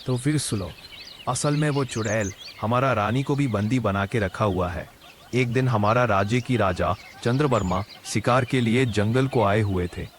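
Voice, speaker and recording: A man playing a grave holy man recounting a cartoon tale in a measured, explanatory way. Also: on the low side, slight background noise, lightly acted. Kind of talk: Hindi cartoon story narration.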